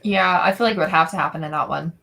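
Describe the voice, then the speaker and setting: A woman's voice: on the low side, fast, creaky, pushed and bright. A woman guesting on a podcast, chatting casually as a fan.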